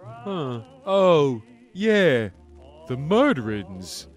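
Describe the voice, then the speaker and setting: A man voicing a big, friendly, slow-witted creature janitor with simple, halting speech in an audio drama. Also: on the high side, big pitch swings, soft background music, heavily acted.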